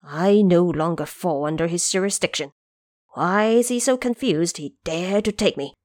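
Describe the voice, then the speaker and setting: A woman's voice: lowish voice, moderate pace, darkish tone, no room sound, clean studio sound, close to an everyday voice. A woman voicing a proud, defiant male Monkey King in an audio drama, haughty and indignant. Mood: haughty indignation.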